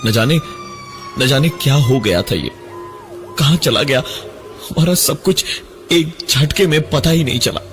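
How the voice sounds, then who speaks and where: A man narrating a scripted first-person horror story in a dramatic, halting, suspenseful manner. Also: on the high side, lively intonation, fairly bright tone, faint music behind. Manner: dramatic, halting delivery with suspenseful breaks and repeated words.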